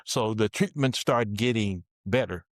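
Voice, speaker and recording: Slow-spoken older man telling his story. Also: on the low side, dry recording, clean studio sound. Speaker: interviewee recounting his career. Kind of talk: slow, deliberate personal storytelling.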